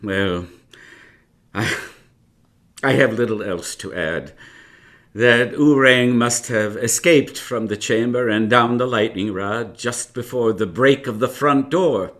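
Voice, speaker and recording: A man's voice: medium pitch, takes it slowly, fairly bright tone. An actor playing a genial older man reminiscing as narrator in a radio drama, with chatty, hesitant asides. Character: older male narrator reminiscing about his past adventures, genial, wistful. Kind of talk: live radio drama storytelling.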